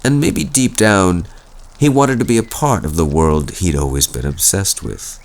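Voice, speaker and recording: An actor playing a young male student who tells a spooky campfire tale in a slow, dramatic, suspenseful way for an audio roleplay. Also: sits low. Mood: dark, dramatic. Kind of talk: scripted horror storytelling in an audio roleplay.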